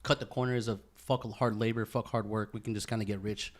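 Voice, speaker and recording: A man's voice: on the low side, fairly even intonation, fairly quick. A man co-hosting a podcast in casual, profane conversation, full of slang like "bro" and "dude.". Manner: slangy, profane, says 'bro' and 'dude' often. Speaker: podcast co-host. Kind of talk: casual group chat.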